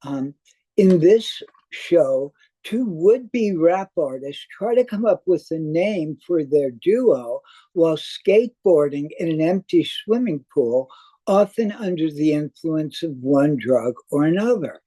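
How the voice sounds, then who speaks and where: Older male theater critic, slow scripted read, soft mellow tone, slightly raised pitch. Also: expressive. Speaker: theater reviewer. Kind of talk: scripted spoken review.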